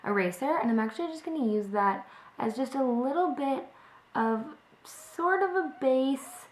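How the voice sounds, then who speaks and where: Young woman, a bit sick, chatty makeup tutorial, fairly high voice, echoey kitchen, webcam. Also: animated, moderate pace. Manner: chatty, with frequent ums and apologies. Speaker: beauty vlogger. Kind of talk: casual makeup tutorial talk-through. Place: home kitchen by a window, indoors. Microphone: webcam at close range. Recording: echoey room sound.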